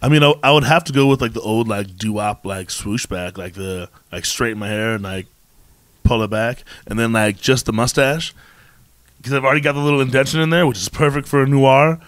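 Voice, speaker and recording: A man co-hosting a podcast in casual chat, talking haltingly as he works out his thoughts. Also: mid-range voice, dry recording. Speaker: podcast co-host.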